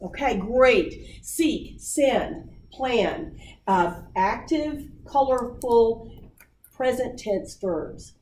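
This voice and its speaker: A woman leading a writing workshop, coaching people on their book pitches with many fillers. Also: animated, extremely slow, a little mellow, a little hiss or hum. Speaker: workshop presenter. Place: meeting room. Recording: roomy distant audience recording.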